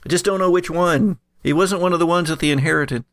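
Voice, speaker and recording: A man voicing a suspicious, teasing middle-aged woman in a tabletop game, with halting, probing speech. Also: fairly high pitch, a bit bright, dry recording, studio quality, pitched above the performer's own voice. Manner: halting, probing, calls people darling.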